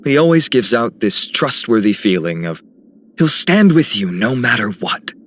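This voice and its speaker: A man voicing a steady, sincere hero in scripted video game lines. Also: mid-range voice, normal speed, slight background noise. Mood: warm, sincere.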